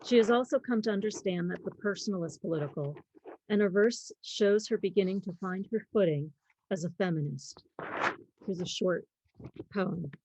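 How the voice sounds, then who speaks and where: Mellow-voiced woman giving a measured lecture. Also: mid-range voice, no echo, rough recording. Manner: measured, deliberate reading with pauses. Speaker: lecturer on literature. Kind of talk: prepared lecture, reading quotes and poems aloud.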